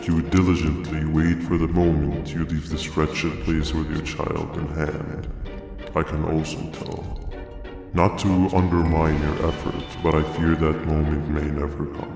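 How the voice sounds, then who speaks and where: An actor playing a brooding, menacing many-armed sea creature in a video game, speaking in slow, grandiose, formal sentences. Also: male voice, a bass voice, level tone, gravelly, heavily acted. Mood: calm menace. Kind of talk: video game character monologue. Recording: cavernous echo, music behind.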